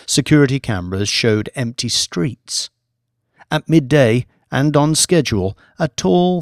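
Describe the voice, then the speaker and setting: Measured male audiobook narrator, very dry sound. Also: mid-range voice, dry recording. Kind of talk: scripted dramatic narration.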